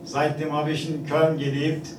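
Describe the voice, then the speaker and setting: A man in his forties from Turkey with a Turkish accent, giving a formal speech with halting hesitations and fillers. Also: a little high, flat delivery. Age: 40s. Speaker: consulate representative giving a speech. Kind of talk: formal speech at an exhibition opening. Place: event hall. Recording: echoey hall, distant, noisy.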